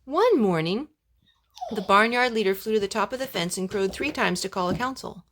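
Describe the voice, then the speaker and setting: A woman reading a children's story aloud in an expressive, animated way, with gentle asides to young listeners. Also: medium pitch, normal speed, slight background noise.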